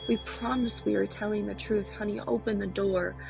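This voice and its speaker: Creaky, lowish-pitched intimate woman over music. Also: fairly even intonation, moderate pace, low-quality recording. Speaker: monologue performer. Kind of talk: scripted poetic monologue reading.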